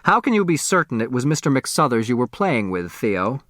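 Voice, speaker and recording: A man voicing a shrewd, composed storybook judge who probes and gently chides. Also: medium pitch, very animated, normal speed, no room sound, studio quality. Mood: probing.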